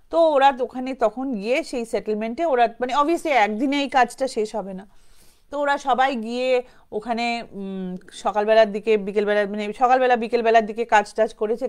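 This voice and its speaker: A woman tells a ghost story informally, in a rambling conversational way with English words mixed in. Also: on the high side. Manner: conversational, rambling, mixes in English words, acts out quotes lightly.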